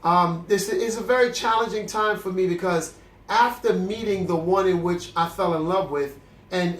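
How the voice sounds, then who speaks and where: Young male host, congested, earnest and preachy, higher voice, roomy echo. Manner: earnest, preacherly, with frequent restarts. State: congested, with a cough. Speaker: host of a celibacy video series. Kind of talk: candid faith talk to camera. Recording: echoey room.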